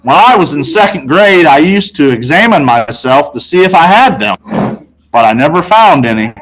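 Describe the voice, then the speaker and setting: A man reading a children's novel aloud in an expressive, conversational way, telling it as a boy in the first person. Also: fairly high pitch, moderate pace, low-quality recording. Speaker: storybook reader.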